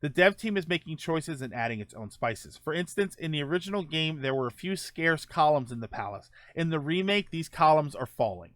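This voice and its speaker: A man with a slightly raised pitch talks casually as a podcast host, stopping often to restart his sentences. His voice sits close and dry, with no room sound around it. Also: expressive, a bit bright, no echo. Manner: halting, many ums and restarts, reads tweets aloud. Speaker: podcast host reading viewer topics. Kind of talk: casual solo podcast chat.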